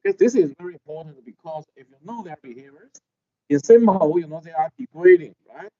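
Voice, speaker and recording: A middle-aged man lecturing a class, with a halting manner and constant "okay?" checks. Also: a little high, expressive. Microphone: video call. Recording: dull lo-fi video call audio.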